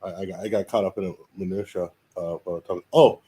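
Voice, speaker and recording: A man's voice: sits low, fairly even intonation, fast talker, warm tone, breathy edge. An older man interviewing a guest on a casual podcast, rambling and stammering through his questions with a joking manner.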